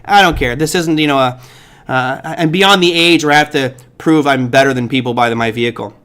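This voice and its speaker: A man's voice: mid-range voice, fairly quick, a bit bright, clear, resonant tone. A middle-aged man hosting a live trading stream, chatting casually with viewers in a rambling, joking way full of fillers. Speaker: host of a trading livestream. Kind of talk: casual livestream chat answering viewers.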